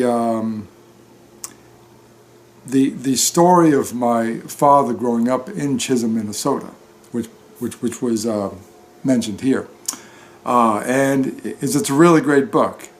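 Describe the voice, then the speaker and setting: A man speaks at a slow pace with a middling pitch. He sounds like an amateur book reader chatting off the page, hesitant and halting as he goes. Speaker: story reader. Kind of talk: narrated book reading, then casual unscripted talk.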